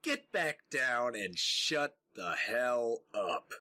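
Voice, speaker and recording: Man as slow, taunting thug guard. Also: mid-range voice, sing-song, sharp tone, full character voice. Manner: mocking, menacing. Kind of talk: radio drama acting.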